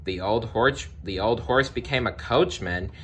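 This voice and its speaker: A man narrating a children's storybook aloud in an expressive read-along style. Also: medium pitch, a little slow, a little hiss or hum. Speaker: storybook reader.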